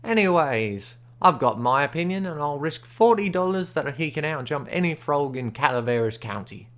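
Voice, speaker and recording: A man's voice: on the high side, expressive, moderate pace, a bit bright. Smiley, a man doing a drawling frontier gambler. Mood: easy, bragging. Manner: folksy drawl, backwoods grammar.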